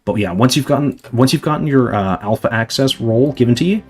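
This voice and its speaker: A young man chatting casually to his viewers on a livestream, rambling with lots of fillers and a voice going slightly hoarse from talking a long time. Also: medium pitch, lively intonation, fast. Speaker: livestreamer answering chat.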